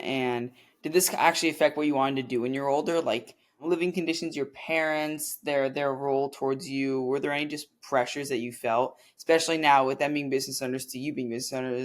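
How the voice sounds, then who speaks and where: A man's voice: mid-range voice, fairly even intonation, fairly quick, few pauses. Man host with rambling questions. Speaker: podcast host. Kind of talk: interviewing.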